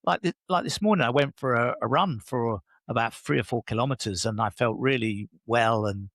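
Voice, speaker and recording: A man's voice: a little fast, no room sound, clean studio sound. A man, an enthusiastic interview guest who speaks casually and keeps affirming with "yeah". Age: 60s. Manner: enthusiastic, animated pitch jumps, frequent affirming "yeah". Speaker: guest, leadership coach.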